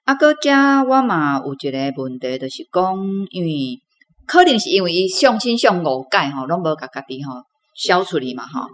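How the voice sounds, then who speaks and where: A woman's voice: on the low side, big pitch swings, normal speed, a little hiss or hum. A woman with a Taiwanese accent, a reading club member asking questions conversationally.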